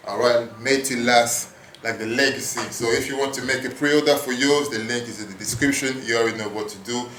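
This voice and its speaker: A man in his thirties, raised in France, hosting a casual podcast monologue in an animated, hyping way with casual swearing, recorded at a gym. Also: medium pitch, a little fast, echoey room, slight background noise. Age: 30s. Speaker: podcast host. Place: gym at work.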